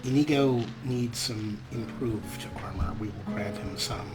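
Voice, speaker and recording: A man's voice: fairly low pitch, a little slow. Guy doing laid back let's play commentary, wry little asides, game music going behind him. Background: game music and character voices.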